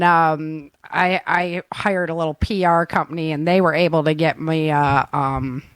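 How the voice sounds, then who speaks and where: A woman host with a fairly low voice talks in casual conversation. The recording is close and dry, with very little room around her. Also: smooth, no room sound. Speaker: show host and author. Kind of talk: casual chat.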